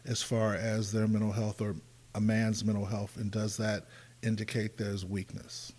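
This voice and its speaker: A man in his sixties, a clinical mental health counselor, explaining in a measured way on a discussion panel. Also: fairly low pitch, monotone, a little mellow. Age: 60s.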